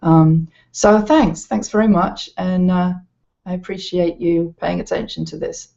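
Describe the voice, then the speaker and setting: Low-key woman presenter with creaky, even voice. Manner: hesitant, halting delivery. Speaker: educational presenter. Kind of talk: informal product walkthrough. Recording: slightly dull, lo-fi sound.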